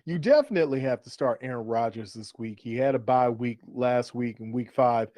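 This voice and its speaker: A man's voice: mid-range voice, moderate pace, warm tone, dry recording. A man hosting a sports talk show with upbeat fantasy football banter, playful and fond of repeated phrases and rhetorical questions.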